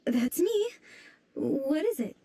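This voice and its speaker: A woman playing a proud, defensive young female researcher in a video game, expressive and girlish. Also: high-pitched, slow, slight background noise, heavily acted. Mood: indignant, bitter. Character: young female researcher, proud, prickly, wounded by unrequited crush. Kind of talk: video game character acting.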